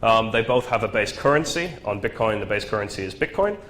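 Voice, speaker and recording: A man's voice: lowish voice, expressive, a little fast, a bit bright, a little hiss or hum. A man with a nasal, flu-stuffed voice giving a casual tech talk at a meetup, with lots of ums and "right?". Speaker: presenter. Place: meetup venue.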